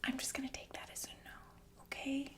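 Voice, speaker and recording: A woman playing a softly menacing, falsely soothing killer nurse in a whispered ASMR roleplay, halting and casually polite. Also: lightly acted. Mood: casually sinister. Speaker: ASMR performer.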